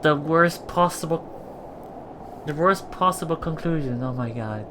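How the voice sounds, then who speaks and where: A man reading a visual novel aloud for a Let's Play, expressive and dramatic in first-person narration. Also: fairly high pitch, slow, noisy background. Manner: expressive, dramatic, with murmured reactions.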